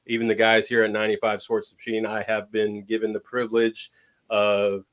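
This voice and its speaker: A man's voice: lowish voice, completely flat delivery, moderate pace, fairly bright tone. A young man co-hosting a sports podcast, talking in a laid-back, casual way. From half Mexican, half Persian heritage. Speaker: podcast co-host.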